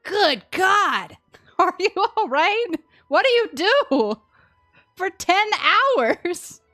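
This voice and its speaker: A woman's voice: extremely high pitch, big pitch swings, very slow, a bit bright, faint music behind. A young adult woman chatting casually and expressively on a game livestream. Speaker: game streamer.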